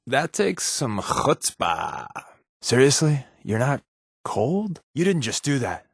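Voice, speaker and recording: An actor playing a wisecracking undercover agent and loyal sidekick in a video game, with an American accent and a breezy, sarcastic way of talking. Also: male voice, mid-range voice, sing-song, slow, gravelly, husky, no room sound, clean studio sound, heavily acted. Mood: sardonic, breezy. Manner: sarcastic, breezy, casual quips. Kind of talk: game companion voice lines.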